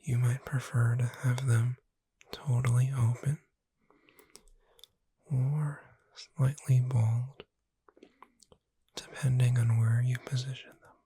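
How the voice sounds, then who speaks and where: A woman playing a gentle witch bookseller in a breathy, soothing, whispered ASMR sleep roleplay. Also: rumbling low, little pitch movement, no echo, full character voice. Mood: calm, soothing. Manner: slow, soothing, deliberate. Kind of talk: soft-spoken ASMR sleep roleplay, guided relaxation.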